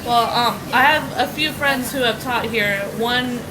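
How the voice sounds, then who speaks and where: A woman's voice: on the high side, unhurried. A young American woman giving casual interview answers in very informal speech, peppered with "like". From United States. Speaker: teacher being interviewed. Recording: loud traffic and street noise.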